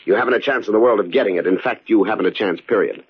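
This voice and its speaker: An actor playing an outwardly obedient but coldly murderous hired man in an old radio drama, measured and deferential with menace underneath. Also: on the low side, slightly rough. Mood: cold, menacing. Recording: muffled, faintly noisy old radio recording.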